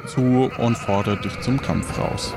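A man's voice: mid-range voice, steady tone, slow pace, music in the background. A man narrating an improvised audio adventure game as game master, conversational and feeling his way through the story.

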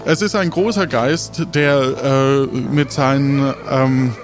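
A man with an average-pitched voice runs an improvised role-playing adventure. He narrates the scene and gives rulings as game master. Light music plays quietly behind him. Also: steady tone, slow pace.

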